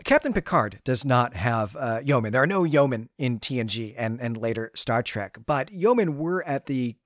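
A man's voice: medium pitch, expressive, moderate pace, no room sound. A man with a mid-range voice talks as a podcast host on a close, dry microphone. He is analytical and enthusiastic, doubling back on words as he works through literary discussion.

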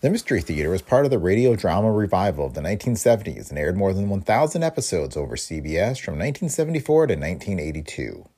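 An American man hosts a podcast with a scripted introduction. His voice is fairly low and husky, with plenty of fry and a varied melody. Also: very animated, quick pace, hardly any pauses, warm tone. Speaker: podcast host.

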